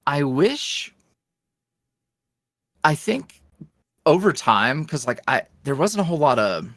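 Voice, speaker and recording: A man's voice: on the high side, big pitch swings, slow pace, a bit bright, dry recording, studio quality. A man, an ASMR roleplay creator, chatting as a guest in a casual interview, rambling with many fillers and restarts.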